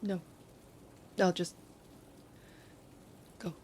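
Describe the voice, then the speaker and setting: An actress playing a heartbroken lover, wounded and pleading, in a naturalistic audio drama. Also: female voice, drawn-out words, a little mellow, slight background noise. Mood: sad, hesitant.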